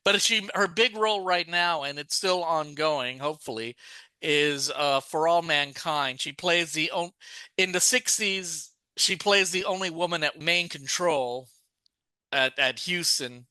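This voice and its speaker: A man's voice: on the high side, a little slow, pushed and bright, smooth, no echo. A man co-hosting a podcast, talking casually and at length, often restarting his sentences. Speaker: podcast co-host. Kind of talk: casual chat.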